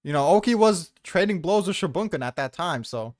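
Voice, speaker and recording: A man's voice: high voice, animated, fairly quick, no echo, studio quality. A man debating casually with a blunt, combative manner and plenty of swearing. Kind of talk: casual debate about a manga ranking.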